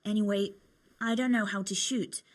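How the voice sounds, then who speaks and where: A woman's voice: mid-range voice, fairly even intonation, normal speed, natural voice. A young woman playing a cheerful, teasing medieval tavern girl in a video game, chatty and coaxing. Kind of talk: video game cutscene acting.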